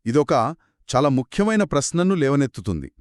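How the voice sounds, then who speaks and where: Animated man, analytical co-host. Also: medium pitch, fairly quick, no echo, clean studio sound. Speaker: podcast co-host. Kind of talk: conversational story discussion.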